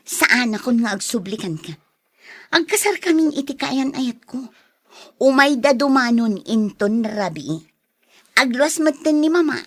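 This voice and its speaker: A woman's voice: on the high side, dry recording, studio quality. An actress with a Filipino accent playing a resolute young woman leaving her lover, with dramatic radio drama delivery.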